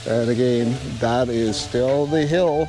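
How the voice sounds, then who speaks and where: A man's voice: mid-range voice, takes it slowly, warm tone, soft background music, rough recording. A man from Trinidad hosting a travel vlog, chatting casually and with enthusiasm.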